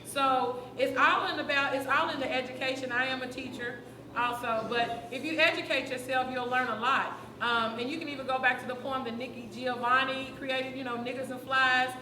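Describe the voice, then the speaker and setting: An earnest woman talks in a high voice, explaining at length with fillers. She is recorded in an echoey venue with noise in the background. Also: fairly even intonation, normal speed, sharp tone. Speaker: teacher speaking in a group discussion. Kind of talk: open discussion at a community event.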